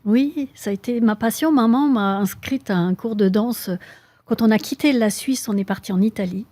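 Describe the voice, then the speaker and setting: A middle-aged woman from Switzerland with a Swiss French accent, answering interview questions thoughtfully, with frequent pauses and fillers. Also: on the high side, mellow, dry recording. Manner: thoughtful, hesitant with many fillers. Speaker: guest, mountaineer.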